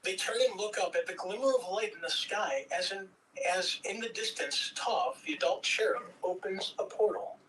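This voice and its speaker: Man, scripted narration and casual hosting, high-pitched, distant mic, faint noise. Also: normal speed, fairly bright tone. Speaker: narrator and table reading host.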